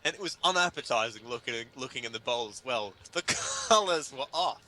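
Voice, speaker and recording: A man performs a comic article in a bright, forceful voice with very lively intonation. His delivery is emphatic and sardonic, pitched as mock indignation. There is faint noise in the recording. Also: brisk, poor audio. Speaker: reader performing a humor article. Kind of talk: dramatic comedic reading of an article.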